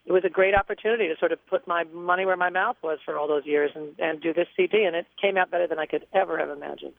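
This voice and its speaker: A woman's voice: lowish voice, quick pace, vocal fry, no echo. A woman singer telling stories as a guest in a radio interview, chatty and reflective, heard over a phone line.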